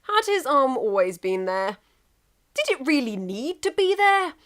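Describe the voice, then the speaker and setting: A woman reading a scripted story aloud as an expressive narrator. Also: high voice, a little slow. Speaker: narrator, fan fiction reader.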